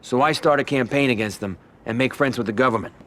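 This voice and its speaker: An actor playing a cool, wary American gangster boss in a video game cutscene, terse, guarded and matter-of-fact. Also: male voice, mid-range voice, fast talker, fairly bright tone, a little hiss or hum, close to an everyday voice. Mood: wary, businesslike.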